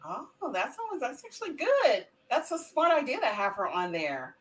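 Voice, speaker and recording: A woman's voice: high-pitched, wildly swooping pitch, normal speed. A woman hosting a casual interview, chatty and affirming as she prompts her guests. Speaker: host.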